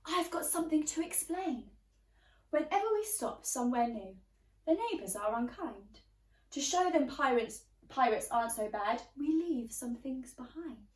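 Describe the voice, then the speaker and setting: A woman's voice: high voice, lively intonation, a little slow, far from the mic. A woman voicing a friendly young storybook pirate boy, earnest and confiding. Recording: roomy, echoey, away from the mic.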